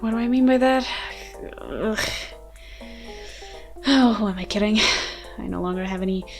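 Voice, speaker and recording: A woman playing a haughty, sardonic ancient vampire with a soft side, speaking in wordy mock-formal lines in a dramatic ASMR roleplay. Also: mid-range voice, normal speed, lightly acted. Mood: flustered. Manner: theatrical, wordy, dry mock-formal speech. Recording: music behind the voice. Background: music.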